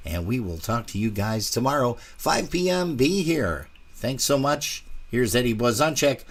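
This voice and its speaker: A man's voice: mid-range voice, expressive, normal speed. A man hosting a live weekend broadcast, chatting casually and folksily with frequent "uh" fillers as he signs off. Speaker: show host.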